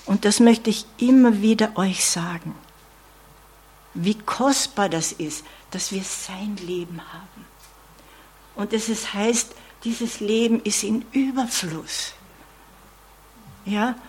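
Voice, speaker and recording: A woman's voice: mid-range voice, slow pace, long pauses, darkish tone, a little hiss or hum. A woman preaching a sermon in an earnest tone, often adding "ja?" asides and dropping to a whisper.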